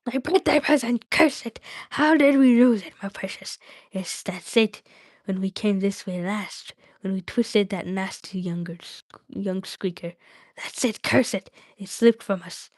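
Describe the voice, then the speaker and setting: A woman's voice: mid-range voice, measured pace, a little mellow, no echo, close to an everyday voice. A woman voicing a wretched, suspicious cave creature in a storybook, muttering to itself in a whispery hiss with repetitive baby-talk grammar. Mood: anguished, cursing. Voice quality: whispery, hissing.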